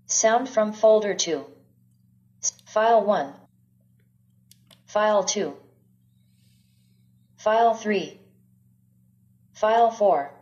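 A woman speaking short recorded announcement prompts, played back with dull, low-fidelity sound through a small speaker. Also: expressive, very slow, long pauses, dark tone.

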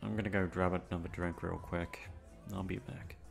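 A man plays a young playboy in an ASMR roleplay. His voice sits low with a lot of gravelly fry, and his informal talk swings between cocky swagger and shy, halting fluster. Faint music plays behind him. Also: little pitch movement. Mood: flustered, self-doubting. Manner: informal, halting, tongue-tied around crush. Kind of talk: ASMR roleplay audio drama.

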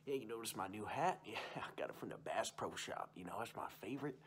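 A man's voice: on the low side, expressive, fast, fairly bright tone, slight background noise. A young man playing a boastful, folksy uncle who rambles about hunting and fishing in an ASMR roleplay. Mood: chummy, easygoing. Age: young adult. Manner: folksy, rambling, repeats stock phrases. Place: small room indoors.

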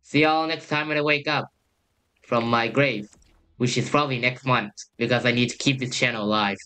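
Young guy yapping over a game, bright voice, kinda hesitant, mid pitch. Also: fairly even intonation, normal speed, smooth. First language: Thai. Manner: rambling, hesitant, trails off with "I guess". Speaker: youtuber. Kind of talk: casual gameplay commentary.

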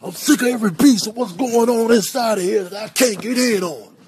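A man voicing an indignant, trash-talking cat in a slangy, confrontational comic animal voice-over with a husky voice. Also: high-pitched, fairly quick, over music, natural voice. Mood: furious, taunting. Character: indignant, trash-talking male cat fed up with his rivals.

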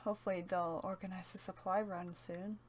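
A woman's voice: on the low side, level tone, brisk, close to an everyday voice. A woman playing a reserved, weary apocalypse survivor with terse, hesitant delivery in an amateur roleplay, with faint noise. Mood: weary, subdued.

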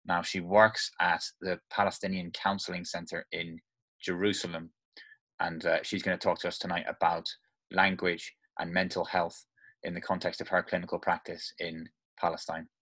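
A young man moderating a panel on a video call, introducing the next speaker in a measured way with frequent ums. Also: deep voice, level tone, sharp tone, slightly rough. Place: home study with bookshelves. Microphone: laptop webcam mic on a video call.

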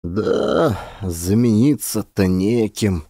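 A man's voice: fairly low pitch, very slow, warm tone, breathy edge, no echo, clean studio sound, voice pushed down, heavily acted. Weary old male peasant, played by a male narrator, resigned and plaintive, sighing, for an audiobook tale.

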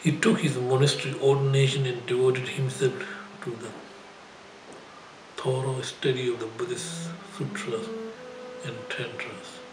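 An older man, a Buddhist teacher, reads a scripted life story aloud in a halting, phrase-by-phrase way. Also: medium pitch, level tone, noisy background. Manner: halting, phrase-by-phrase delivery with frequent throat clearing. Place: indoor shrine room. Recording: roomy echo, music behind.

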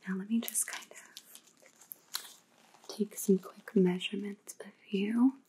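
A woman's voice: mid-range voice, steady tone, unhurried, noisy background, natural voice. A young woman playing an aloof, trend-obsessed vampire housemate in an ASMR roleplay, drawling casually and often whispering. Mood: bossy, casual. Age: young adult. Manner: casual valley-girl drawl full of "like".

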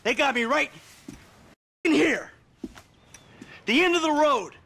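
A man's voice: extremely high pitch, expressive, slow, gravelly, bright, forceful tone, heavily acted. An American man acting a sardonic, world-weary middle-aged retired criminal in a video game cutscene. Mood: bitter, defensive.

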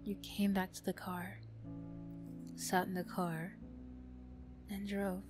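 A woman narrates an ASMR story softly and breathily. Her delivery is slow and hushed, in short measured phrases, with music underneath. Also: medium pitch, level tone. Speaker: narrator and voice performer. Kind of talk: soft ASMR story narration in second person.

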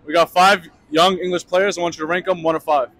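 Young man, street interviewer, high voice, brisk and upbeat, outdoor sidewalk. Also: steady tone, fairly bright tone. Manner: brisk, upbeat, repeats answers back. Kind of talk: quick street vox pop. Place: outdoor city sidewalk by a shop front.

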